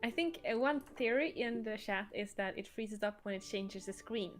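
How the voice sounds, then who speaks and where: A woman's voice: medium pitch, moderate pace. A woman chatting casually as a livestream host, answering questions and hesitantly searching for words. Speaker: stream host and game creator. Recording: music playing behind the voice. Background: music.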